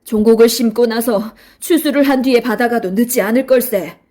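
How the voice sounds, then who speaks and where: A woman's voice: fairly high pitch, brisk, a little mellow, natural voice. A woman playing an upright male scholar in exile, firm and defiant, in a children's storybook. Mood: indignant. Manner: firm, stern.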